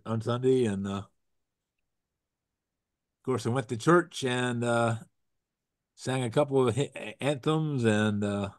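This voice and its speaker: A man with a froggy voice from a cold hosts a casual show over a video call. He rambles, restarts his sentences and drops corny puns. The sound is close and dry, with no room echo. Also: medium pitch. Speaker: host. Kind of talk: casual chat with jokes.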